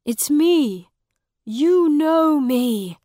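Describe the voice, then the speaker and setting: A woman's voice: on the high side, animated, very slow, no echo, clean studio sound. A woman voicing an earnest young man in a storybook, pleading with emphatic emotion. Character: Miles, warm earnest young man returning home, desperate and disbelieving.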